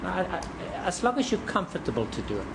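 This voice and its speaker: Deliberate probing male counsel. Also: high-pitched, sing-song, creaky, noisy background. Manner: deliberate, repeats words while probing. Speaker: cross-examining counsel. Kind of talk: courtroom cross-examination.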